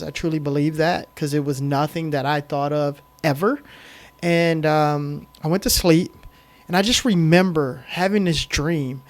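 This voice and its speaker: A middle-aged man telling his story as a podcast guest, emphatic and animated, with frequent "um"s. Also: a little high. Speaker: podcast guest, film director. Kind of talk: casual storytelling interview.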